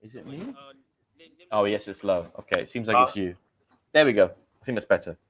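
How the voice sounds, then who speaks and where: A man with a British accent, talking through a relaxed livestream in a fairly low voice. He chats easily with viewers and stops now and then to correct their word choices, the way a language teacher would. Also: fairly quick. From Britain. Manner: chatty, corrects learners' vocabulary. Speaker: English teacher hosting a livestream. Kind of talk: casual livestream chat. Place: home in Tenerife.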